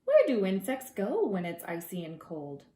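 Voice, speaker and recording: A woman reading aloud to preschoolers as their teacher, expressive and gentle, drawing out words and adding conversational asides. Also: medium pitch, reverberant. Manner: gentle, deliberate, drawing out words for young listeners.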